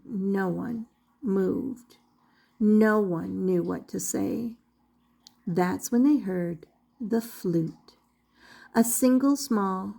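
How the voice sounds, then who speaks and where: An older woman reading a children's storybook aloud as an expressive, dramatic storyteller. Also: medium pitch, vocal fry.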